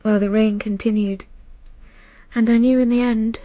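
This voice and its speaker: A woman playing a wistful young bride who recalls her past in a measured, reflective radio drama monologue. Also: a little high, fairly even intonation, dry recording, dull audio, close to an everyday voice.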